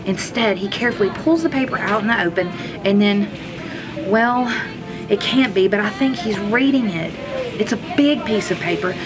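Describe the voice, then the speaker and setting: Woman reading a storybook aloud, music behind. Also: medium pitch. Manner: expressive, acts out characters' lines. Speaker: kindergarten teacher reading aloud. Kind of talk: children's storybook read-aloud.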